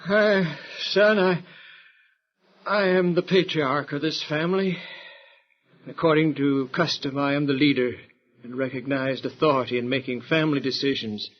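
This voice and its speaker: An actor playing a venerable, proud family elder in an audio Bible drama, formal and declamatory. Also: male voice, a little high, normal speed, faint music behind, lightly acted. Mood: stately, authoritative. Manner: declamatory, stately. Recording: dull lo-fi recording.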